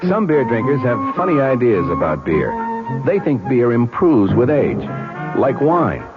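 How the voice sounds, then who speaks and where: A man's voice: mid-range voice, wildly swooping pitch, a little slow. Persuasive male radio ad announcer. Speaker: commercial announcer. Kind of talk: scripted radio ad read. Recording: muffled old radio broadcast with music.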